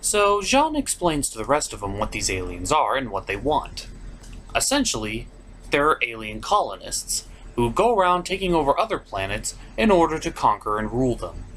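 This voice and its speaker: Male review host, expressive pitch, sardonic, exasperated, sarcastic recap commentary, slight noise behind. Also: normal speed.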